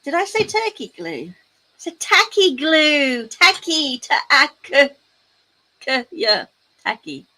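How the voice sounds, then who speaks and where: Woman, crafting stream host, slightly high voice, unhurried, teasing asides, casual chat. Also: big pitch swings, bright, forceful tone, rough recording.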